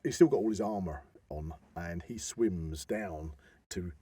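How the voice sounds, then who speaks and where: A man with a soft-edged, relaxed voice talks films in a casual podcast style. He tends to break off and restart his thoughts as he rambles. The sound is dry, with very little room in it. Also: low voice, a little slow, slightly rough, no echo. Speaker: podcast co-host; actor. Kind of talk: casual film discussion.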